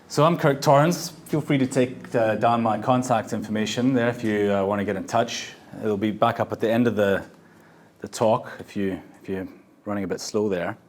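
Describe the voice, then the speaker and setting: Halting man pitching at a meetup. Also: fairly even intonation. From South Africa. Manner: halting, frequent fillers and restarts. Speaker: startup founder presenting. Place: event venue.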